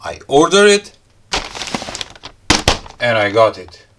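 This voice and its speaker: A man reviewing a gadget in a casual unboxing style, talking in halting, piecemeal phrases and muttering to himself. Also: medium pitch, very animated, heavy background noise. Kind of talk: casual unboxing and product explanation.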